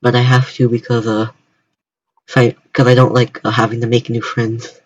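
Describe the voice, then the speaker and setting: Young American male streamer, casual and halting delivery, fairly flat pitch, slightly lo-fi sound. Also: dry recording. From United States. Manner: halting, repetitive starts. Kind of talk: casual chat on a livestream.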